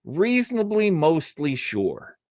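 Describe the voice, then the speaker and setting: A man hosting a live show, chatting casually with viewers in a halting, self-deprecating way and laughing easily. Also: fairly high pitch, huge pitch swings, a little mellow, dry recording, studio quality. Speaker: host of a live old-time radio show stream. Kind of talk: casual live chat with viewers, rambling sign-off.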